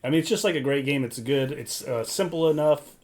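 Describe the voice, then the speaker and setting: A man in his thirties or forties chatting casually as a podcast panelist, halting and slangy. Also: on the high side, a crowd in the background. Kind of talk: casual chat.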